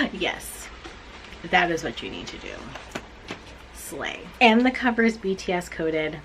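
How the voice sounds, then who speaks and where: A woman in her forties recapping books she has read to camera, blunt, animated and self-mocking. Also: on the low side, slow, a little hiss or hum. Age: 40s. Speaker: book reviewer. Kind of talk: casual book recap to camera.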